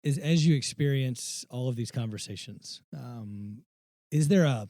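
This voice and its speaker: A man's voice: mid-range voice, unhurried, dark tone, dry recording, clean studio sound. A man hosting a podcast, thinking through his questions aloud. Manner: thoughtful, feels out his questions. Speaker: podcast host. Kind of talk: casual conversation.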